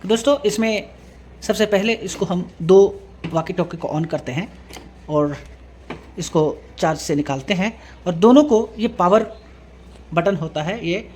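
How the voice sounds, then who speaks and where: A man presenting a hands-on tech tutorial, explaining step by step in a halting, repetitive way. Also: on the high side, a little mellow. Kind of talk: hands-on product demonstration. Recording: clearly noisy room recording with ambience.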